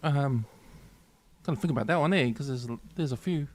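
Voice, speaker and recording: A man's voice: mid-range voice, normal speed. A man in his forties with a New Zealand accent, co-hosting a sports podcast in an easygoing, rambling way. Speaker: podcast co-host. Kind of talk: casual chat.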